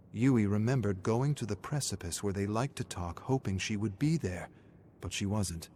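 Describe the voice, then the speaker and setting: A man's voice: lowish voice, a little mellow, a little hiss or hum. A man narrating a scripted dramatic story as its narrator.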